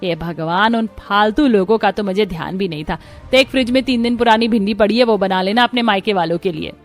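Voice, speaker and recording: A woman's voice: medium pitch, a little fast, lightly acted. Woman doing a bossy older rich saas, scolding and scornful, cartoon story, music behind. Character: harsh, scornful older rich mother-in-law.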